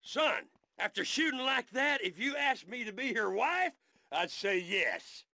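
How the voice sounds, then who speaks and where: An actor playing a boastful, folksy gun-loving self-styled army veteran in a video game, with a cocky drawl. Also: male voice, very high-pitched, sing-song, unhurried, bright, forceful tone, exaggerated voice. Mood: jovial. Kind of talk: video game cutscene acting. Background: music.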